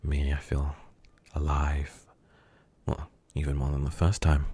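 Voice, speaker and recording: A man playing a menacing, smug kidnapper in an ASMR roleplay, speaking slowly and teasingly and dropping into whispers. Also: extremely low pitch, completely flat delivery, warm tone, breathy edge, no room sound, full character voice. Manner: slow, teasing, drifting into whispers.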